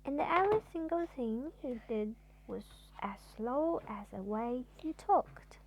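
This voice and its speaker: A woman's voice: on the high side, lively intonation, unhurried, slightly rough, lo-fi sound. A woman reads a children's storybook expressively, like a mother at bedtime, drawing out words for theatrical emphasis. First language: Chinese.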